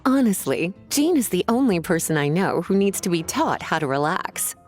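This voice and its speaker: An actress playing a languid, flirtatious woman in a video game, with a playful, coaxing, drawn-out delivery. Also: lowish voice, sing-song, darkish tone, soft background music. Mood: chiding, fond.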